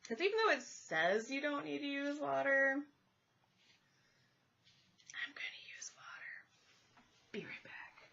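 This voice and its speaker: A woman who is congested and a little raspy, chatting casually about art supplies while she draws at home. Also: sits high, sing-song, slow pace, long pauses, sharp tone, dull audio, slight background noise. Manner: chatty, thinking aloud while drawing. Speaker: art vlogger. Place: home, indoors at a table. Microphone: camera overhead pointed at the paper. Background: furnace and cat noises.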